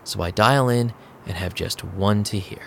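A man's voice: lowish voice, normal speed, vocal fry, very noisy. An actor playing an anxious, wisecracking vampire DJ, telling his story in casual slang for an audio drama. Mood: wry narrating. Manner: casual, slangy, self-doubting. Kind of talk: first-person audio drama narration and dialogue.

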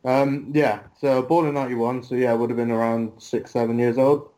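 Guy in his 30s, guest on a pod, easygoing chatty, middle pitch voice. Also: a little fast, poor audio. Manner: relaxed, chatty, says mate. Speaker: guest wrestler. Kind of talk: casual interview chat.

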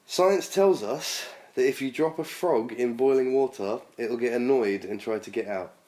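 A man with a husky voice from a sore throat, hosting a casual chat and reading out listener questions with a joking tone. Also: mid-range voice, normal speed, mellow. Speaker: podcast host.

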